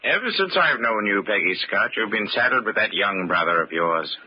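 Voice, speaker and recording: A man's voice: on the low side, expressive, moderate pace, husky. An actor playing a paternal family doctor in an old-time radio drama, avuncular and emphatic in his cautions. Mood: concerned. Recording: muffled, faintly noisy old radio recording.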